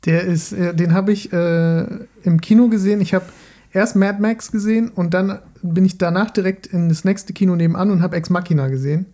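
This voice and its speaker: A man co-hosting a podcast, chatting casually with somewhat halting phrasing. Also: high voice, fairly even intonation, dark tone. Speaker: podcast co-host. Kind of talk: casual film chat.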